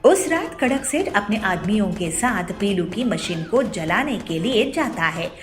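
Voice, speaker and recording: A woman's voice: medium pitch, big pitch swings, unhurried, faint music behind. A woman reading scripted narration for children's cartoon stories as the storyteller.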